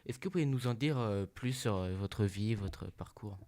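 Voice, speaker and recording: A man's voice: lowish voice, moderate pace, dark tone, lightly acted. A man playing a polite, hesitant interviewer. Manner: polite, formal, many hesitation sounds. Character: male news agency interviewer, polite and formal. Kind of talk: roleplay interview.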